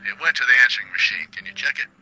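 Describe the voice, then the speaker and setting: A man's voice: medium pitch, lively intonation, very fast, pushed and bright, soft background music, low-quality recording. A man playing a wry, griping small-town radio producer in a quirky radio drama game, chatty, sarcastic and teasing.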